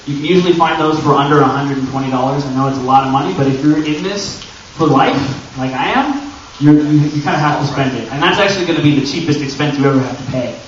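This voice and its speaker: A man's voice: on the high side, fast talker. Echoey, distant man presenting a convention panel. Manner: chatty, joking, addresses the crowd directly. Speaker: panel presenter and audio drama creator. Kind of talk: informal convention panel talk to an audience. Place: indoor convention panel room. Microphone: distant camera microphone. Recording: very echoey room, distant, muffled and noisy.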